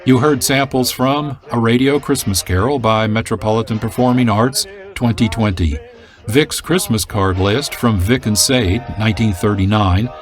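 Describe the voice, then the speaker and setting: Low-voiced American male radio host over music. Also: moderate pace. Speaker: producer and host. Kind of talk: scripted closing announcement.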